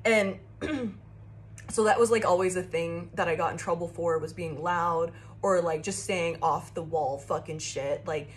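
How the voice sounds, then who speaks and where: A young woman doing a casual tarot reading to her phone camera in a small room, with a loud, carrying voice and a rambling, profane way of talking full of fillers. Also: fairly low pitch, a little slow, a little hiss or hum. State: shaky. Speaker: tarot reader.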